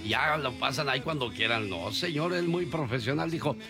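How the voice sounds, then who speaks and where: A man's voice: mid-range voice, expressive, moderate pace, over music. A man hosting a morning radio show, interviewing a guest in a formal announcer's manner and addressing the listeners directly. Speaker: radio host.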